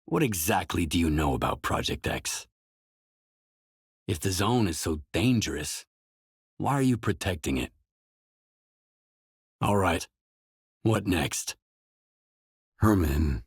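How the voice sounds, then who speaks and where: An actor playing a gruff, guarded, weary male video game protagonist, speaking terse, measured scripted lines in a husky voice. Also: low-pitched, lively intonation, no room sound, clean studio sound, exaggerated voice. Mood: probing, serious.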